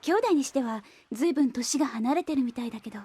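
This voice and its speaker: High, animated young anime woman. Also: brisk, slightly rough. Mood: curious. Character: earnest young woman, bright and emotional. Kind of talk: Japanese anime-style audio drama acting.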